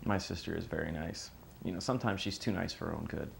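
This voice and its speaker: Guy acting estranged son, low creaky voice, halting casual recollection, some noise behind. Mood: wry, reflective. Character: estranged son, wry and fond of his sister.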